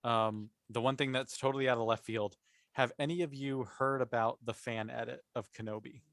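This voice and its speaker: A man speaks casually as a podcast panelist, enthusiastic and emphatic about what he discusses. His voice sits at a middling pitch, recorded close and dry with very little room sound. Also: fairly even intonation, brisk, a bit bright, dry recording.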